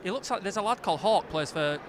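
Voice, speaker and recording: Fast-talking nasal man. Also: sits high, expressive, background noise. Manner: quick, stammering restarts. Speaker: podcast co-host. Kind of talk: casual football watchalong chat.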